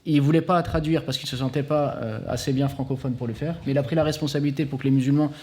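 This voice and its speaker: A man explaining things as a guest at a talk, quick and eager, often restarting his sentences. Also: medium pitch, steady tone, a little mellow. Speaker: conference guest speaker.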